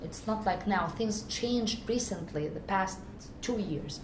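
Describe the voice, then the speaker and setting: A woman's voice: mid-range voice, measured pace. An Egyptian woman speaking English with an Egyptian accent, earnestly recounting her experiences in a conversational interview and often restarting to find her words. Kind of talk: conversational personal account. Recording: echoey room with faint ambient noise.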